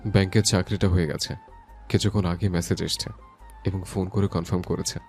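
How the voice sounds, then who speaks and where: A man playing a brooding, gruffly tender young newlywed hero in an audio love story. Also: low voice, level tone, a little fast, a little mellow, faint music behind. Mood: calm. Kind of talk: Bengali audio story acting.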